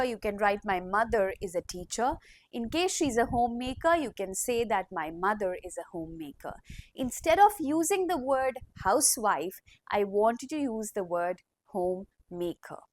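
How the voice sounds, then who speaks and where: A woman teacher, slowly dictating model sentences in a classroom language lesson. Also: mid-range voice, expressive.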